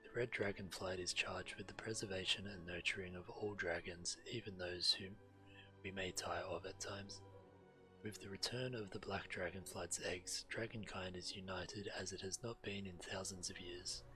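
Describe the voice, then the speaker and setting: A man's voice: sits low, steady tone, normal speed, bright, forceful tone, low-quality recording. A man who streams games, reading fantasy quest dialogue aloud. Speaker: game streamer. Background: game music.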